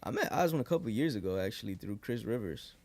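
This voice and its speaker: A young man in his twenties from New York, a guest rapper chatting casually in a podcast interview, relaxed and loose with lots of fillers. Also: on the low side, fast talker. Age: 20s. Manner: relaxed, lots of likes and restarts. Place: living room podcast set, indoors. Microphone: boom studio mic.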